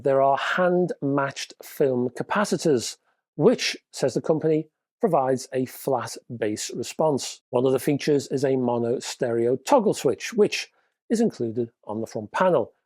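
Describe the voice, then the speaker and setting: A man's voice: medium pitch, a little mellow, no room sound. A man with a stuffy, nasal voice from a cold, presenting a casual hi-fi news roundup with dry, wry humor.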